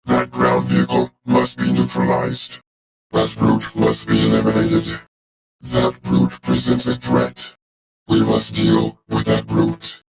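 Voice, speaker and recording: An actor playing a cold, calculating robot officer in a video game, with a gravelly droning timbre, clipped deadpan delivery and a robotic vocoder filter. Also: male voice, lowish voice, full character voice, rough recording. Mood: cold, menacing. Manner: clipped, deadpan, formal reporting. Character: cold, calculating male robot war machine officer, loyal and menacing. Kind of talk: video game character voice lines.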